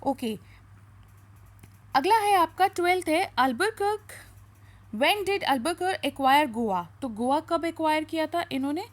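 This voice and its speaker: A woman with an Indian accent speaks in a distinctly high voice. She is a coaching teacher going through exam questions and answers, instructing as she alternates explanation with reading aloud. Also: expressive. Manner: instructive, switching between spoken explanation and slower reading aloud. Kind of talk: question-and-answer history lesson.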